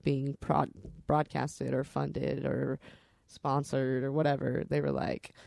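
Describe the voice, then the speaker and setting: A woman's voice: extremely low pitch, little pitch movement, slow, creaky, a little mellow, no echo, studio quality. A woman podcast storyteller telling personal stories in a candid, rambling, salty-tongued way.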